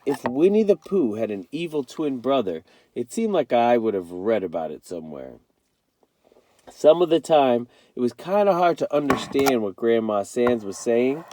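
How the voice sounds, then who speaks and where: Expressive male storyteller, dry close sound. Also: medium pitch, dry recording. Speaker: read-aloud storyteller. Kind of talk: expressive first-person audiobook narration.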